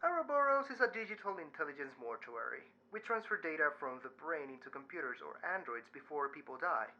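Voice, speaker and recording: An actor playing a wry, anxious mortuary worker in an audio drama, measured in delivery, heard over a call. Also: male voice, on the high side, very animated, sharp tone, heavily acted. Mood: explaining. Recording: dull, band-limited call sound.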